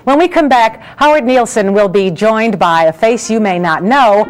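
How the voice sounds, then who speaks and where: A woman's voice: a little high, moderate pace, poor audio. A woman hosting a broadcast interview in a conversational style, asking questions of a guest. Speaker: interviewer, show host.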